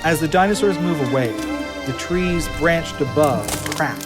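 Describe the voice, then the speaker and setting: A man narrating an audio drama, reading scene descriptions in a measured storytelling style. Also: on the high side, lively intonation. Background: music.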